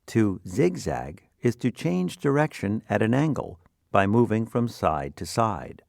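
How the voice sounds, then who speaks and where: Slow, gravelly man reading definitions. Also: lowish voice, very animated, darkish tone, no room sound, studio quality. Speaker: dictionary narrator. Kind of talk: scripted definitions and example sentences for learners.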